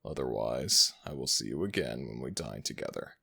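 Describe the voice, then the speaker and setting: A man voicing an ancient male jackal god who is proud and teasing, in a husky voice with slow, formal speech, for an ASMR audio roleplay. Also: very deep voice, animated, pushed and bright, heavily acted. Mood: teasing, fond. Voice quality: gravelly, husky. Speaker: voice actor.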